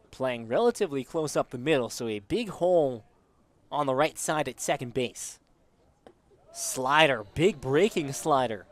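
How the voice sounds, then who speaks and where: A man calling live baseball play-by-play as a sports commentator. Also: mid-range voice.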